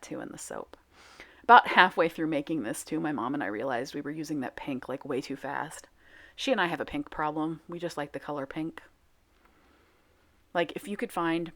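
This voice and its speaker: Casting note: low-pitched woman, casual self-deprecating vlogger narrating her craft footage. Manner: chatty, self-mocking, lots of fillers. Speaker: soap making vlogger. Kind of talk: casual voice-over commentary.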